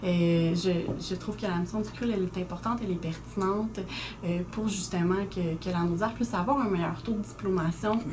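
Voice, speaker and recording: A young woman from Quebec with a Québécois accent, a newly named executive director speaking earnestly in a radio interview, with frequent hesitations. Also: fairly low pitch. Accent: Québécois French. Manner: earnest and articulate, with frequent "euh" pauses. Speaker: guest, new executive director. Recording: noisy community radio studio.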